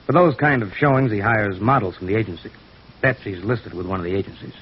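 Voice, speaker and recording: An actor playing a lovesick, shamefaced young man in a 1950s radio drama, speaking in a halting, confessional way that swells into wistful outbursts. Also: on the low side, lively intonation, breathy edge. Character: lovesick, shamefaced young male office thief, humble and earnest. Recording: dull, noisy vintage radio recording.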